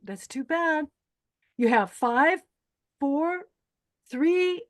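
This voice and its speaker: A woman's voice: sits high, lively intonation, extremely slow, heavily acted. A woman playing a stern, callous older beauty queen who hosts a pageant in a screenplay table read, commanding and cold in manner. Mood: brisk, commanding. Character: stern, callous 64-year-old female pageant host, former beauty queen.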